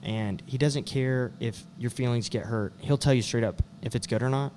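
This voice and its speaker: A man's voice: medium pitch, steady tone, moderate pace, a little mellow, slight background noise. Easygoing young man. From West Texas. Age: young adult. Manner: easygoing, with frequent "uh" fillers. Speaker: singer-songwriter guest. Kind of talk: casual interview answers.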